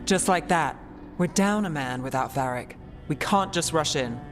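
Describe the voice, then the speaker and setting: A woman playing a cool, sardonic investigator in a fantasy video game, with a dry and measured way of talking. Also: low-pitched, expressive. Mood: cool, wry. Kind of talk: video game cutscene acting. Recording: loud music behind the voice.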